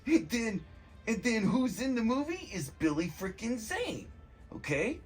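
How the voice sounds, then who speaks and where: A man giving a rambling, casual comedic movie recap, slangy and profane, excitable and meandering in his storytelling. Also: very high-pitched, measured pace, faint music behind.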